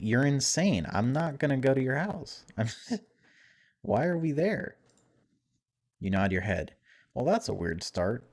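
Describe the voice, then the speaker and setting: A man with a low voice plays through a game for viewers. He reads the text aloud and comments on it in an informal, chatty way, dropping wry remarks as he goes. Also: a little mellow. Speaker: video game streamer. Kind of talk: casual let's-play commentary mixed with reading game text aloud.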